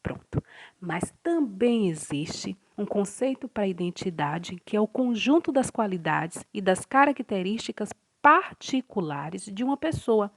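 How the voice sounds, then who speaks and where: Woman reading definitions, rising and falling. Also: medium pitch. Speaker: narrator of definitions.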